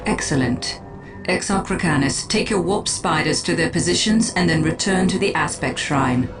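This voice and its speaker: A woman playing a calm, calculating alien farseer in a video game, speaking in a measured, formal and imperious manner. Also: deep voice, over music. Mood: commanding. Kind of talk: video game cutscene acting.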